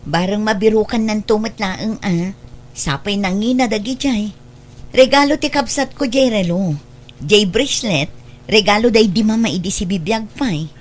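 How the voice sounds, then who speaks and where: A woman's voice: medium pitch, normal speed, lightly acted. A woman acting in an Ilocano radio drama as a matter-of-fact mistress of the house, with an Ilocano accent. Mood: concerned. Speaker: drama actor.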